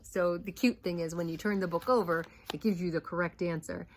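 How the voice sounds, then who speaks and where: A young adult woman, a teacher, reading a picture book aloud to children in an expressive teacher voice. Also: on the low side, a little fast, a little hiss or hum.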